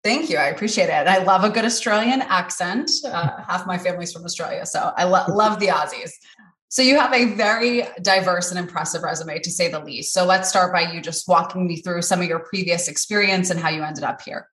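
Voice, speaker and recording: A woman's voice: on the low side, a little fast, fairly bright tone, echoey room, poor audio. A woman hosting a podcast, upbeat and polished as she reads scripted intros and asks interview questions. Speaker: podcast host.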